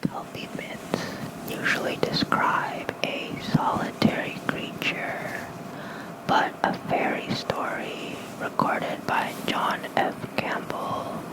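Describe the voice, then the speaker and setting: A hushed, breathy whisper reads bedtime lore in an ASMR style. The delivery is slow and gentle, spoken softly and close to a fluffy microphone. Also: poor audio. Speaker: ASMR creator reading aloud. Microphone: close microphone with fluffy windscreen.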